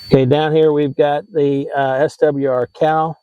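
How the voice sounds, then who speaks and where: A man hosting a how-to vlog, explaining things in a casual, conversational and slightly halting way. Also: a little high, little pitch movement, phone-quality sound, a little hiss or hum. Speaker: vlog host giving RV tips.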